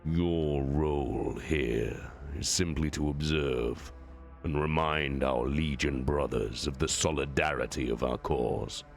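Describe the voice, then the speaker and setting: A man voicing an imperious male warlord ruler who speaks slowly and with measured authority. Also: very deep voice, gravelly, faint music behind, full character voice. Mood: commanding.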